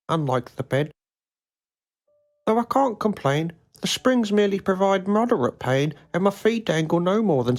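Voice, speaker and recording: Guy doing a meek grown-up kid for a mockumentary game, high lilting voice, sad-sack deadpan, bit of music behind. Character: meek, childlike grown man grounded in his bedroom.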